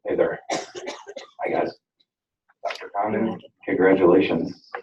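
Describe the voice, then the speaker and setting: Deep-voiced man presenting in an echoey hall. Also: wildly swooping pitch, slow pace, a little hiss or hum. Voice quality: self-described very loud voice. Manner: loose, rambling, many fillers and restarts. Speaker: energy audit presenter. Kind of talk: presentation at a school board meeting. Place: school district board meeting room. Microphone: room microphone at some distance. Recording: echoey room, distant dull audio.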